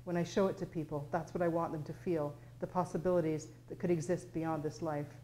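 A woman reading the part of an airy, excitable photographer who commands those around her, her voice lifting as she explains. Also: deep voice, brisk, slight background noise, close to an everyday voice, poor audio. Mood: earnest, rapt.

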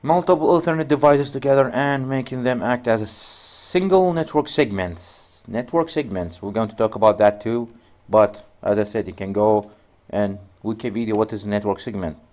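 A man's voice: lowish voice, normal speed, few pauses, darkish tone. A man whose native language is Arabic, walking through a networking tutorial in loose, rambling speech with frequent restarts. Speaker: networking instructor. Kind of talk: screen-recorded tutorial.

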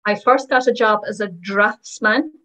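A woman's voice: mid-range voice, measured pace, fairly bright tone. Woman voicing signed testimonies. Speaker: voice-over interpreter for signers. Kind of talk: voicing first-person testimonies in plain narration.

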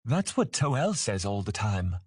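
Man putting on a high, chirpy little boy dragon. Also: big pitch swings, measured pace, a little mellow, slightly rough, no room sound, studio quality, exaggerated voice. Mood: cheerful, chirpy. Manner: childlike, chirpy, eager.

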